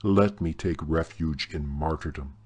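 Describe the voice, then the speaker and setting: A man's voice: rumbling low, a little slow, a little mellow. A man voicing a scheming, seductive woman prisoner who pleads in a theatrical manner, in a storybook reading. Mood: pleading.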